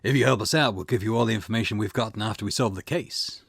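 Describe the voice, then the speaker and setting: Give the part of a seasoned police boss in a visual novel to a man acting it out. He is low and husky, brusque and wry. His lines come measured and matter-of-fact, with a gravelly rasp. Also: fairly bright tone, dry recording, studio quality, exaggerated voice. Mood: businesslike. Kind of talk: reading visual novel dialogue in character.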